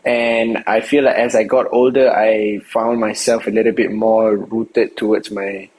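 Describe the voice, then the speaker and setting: A man's voice: lowish voice, little pitch movement, measured pace, a little mellow, poor audio. A young man from Singapore answering interview questions outdoors in a casual, rambling way, full of fillers and restarts. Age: young adult. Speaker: interview guest, rapper.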